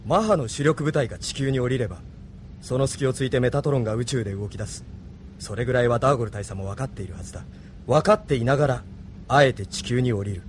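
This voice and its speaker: A man's voice: medium pitch, fast talker, slight background noise, lightly acted. A man playing a serious, thoughtful young resistance leader in a Japanese audio drama. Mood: pensive, determined.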